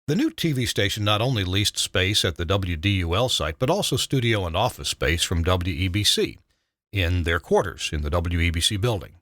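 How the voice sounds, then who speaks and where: An older man, a retired broadcast engineer, narrating a scripted memoir in a measured, dramatic way with emphatic stresses. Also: low voice, animated, a bit bright, dry recording, clean studio sound.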